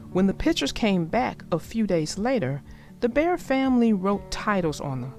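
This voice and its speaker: A woman reading a children's story aloud with expressive, dramatic phrasing, speaking directly to listeners. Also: medium pitch.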